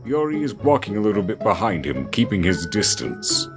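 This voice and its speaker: A man's voice: fairly low pitch, big pitch swings, normal speed, music in the background. A man reading scripted narration for an audio drama, in a storytelling style.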